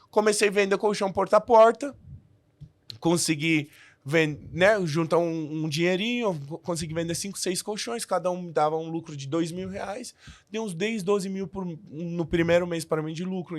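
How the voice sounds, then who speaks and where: A man's voice: high voice, moderate pace, dry recording. A man who learned the language as an adult, a podcast guest telling his story in a casual way with many restarts and fillers.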